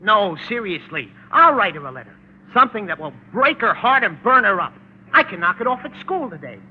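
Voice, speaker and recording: Lovesick, gullible teen boy, very animated high voice, muffled hissy 1940s radio sitcom recording. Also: fairly quick, husky, exaggerated voice. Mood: eager. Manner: naive, eager, plaintive. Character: earnest, lovesick, high-voiced teenage schoolboy. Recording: muffled, faintly noisy old radio recording.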